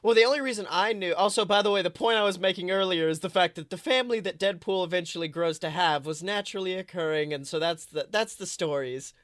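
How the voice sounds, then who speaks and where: A podcast co-host chatting casually about films in an animated, emphatic way with plenty of swearing. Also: mid-range voice, quick pace, hardly any pauses, dry recording, clean studio sound. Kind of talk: casual film discussion podcast chat.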